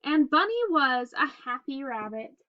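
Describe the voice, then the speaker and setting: A woman reading a children's story aloud in an expressive, simple storytelling manner. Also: high-pitched, measured pace, muffled recording. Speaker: read-aloud host reading a picture book.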